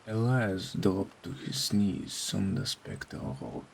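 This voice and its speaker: A man narrating a scripted horror story in a solemn, dramatic way with deliberate phrasing. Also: low voice, creaky, background noise. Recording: faint rain behind voice.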